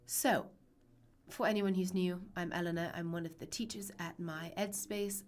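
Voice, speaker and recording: A young woman with a cold leads an online lesson, her lowish voice raspy and hoarse. She explains things in a chatty, reassuring way and fills her pauses with ums. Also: fairly even intonation. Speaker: literature teacher. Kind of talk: live-streamed online lesson.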